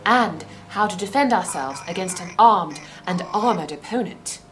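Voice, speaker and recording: A woman's voice: medium pitch, lively intonation, normal speed, fairly bright tone, slight background noise, lightly acted. Woman acting a dry, level-headed adventuring companion. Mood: wary, practical. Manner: dry, matter-of-fact, formal. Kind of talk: audio drama acting.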